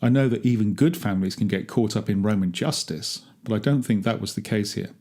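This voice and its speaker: A man's voice: on the low side, a little fast, darkish tone, close to an everyday voice. A man reading a dramatic monologue as a wry, grumbling gardener among ancient tombs, chatty and darkly humorous. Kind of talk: first-person dramatic monologue, read aloud.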